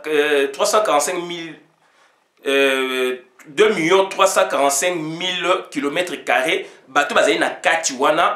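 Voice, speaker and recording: A man's voice: sits high, bright, forceful tone, roomy sound. A man giving an informal political monologue as a commentator, switching between two languages, with many fillers, sometimes reading legal text aloud.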